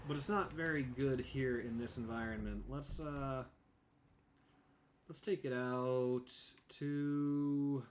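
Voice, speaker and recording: A man's voice: medium pitch, steady tone, slow pace, a little hiss or hum. A young man livestreaming a driving game, chatting casually, thinking aloud and thanking viewers by name. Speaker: streamer. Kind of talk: casual livestream chat while gaming.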